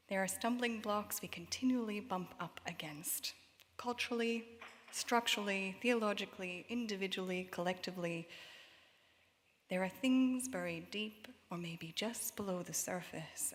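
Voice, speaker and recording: A woman with a Canadian accent speaks as a worship leader, her voice at a middling pitch. She is solemn and deliberate, setting out a prayerful reflection one phrase at a time. Long reverb from a large hall surrounds her words. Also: a bit bright. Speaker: worship leader at a church synod. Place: large hall at a church meeting.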